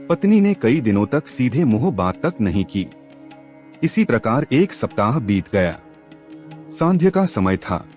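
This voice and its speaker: A man reading a literary story aloud as an expressive narrator. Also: lowish voice. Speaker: audiobook narrator. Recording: music under the voice. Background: background music.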